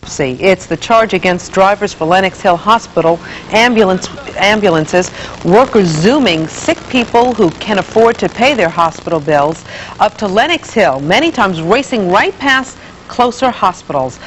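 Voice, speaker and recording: Female news presenter, medium pitch, punchy scripted read, slight background noise. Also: lively intonation, normal speed, poor audio.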